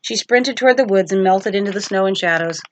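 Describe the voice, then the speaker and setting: A woman's voice: medium pitch, brisk, slight background noise. A woman teacher reading a storybook aloud as narration for children.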